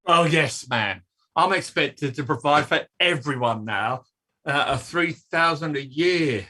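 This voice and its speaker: An actor playing an aggrieved old dustman with a raspy voice, declaiming his complaints in a staged reading. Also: fairly high pitch, measured pace, pushed and bright. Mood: resigned lament. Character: blustering, aggrieved old working-class English dustman.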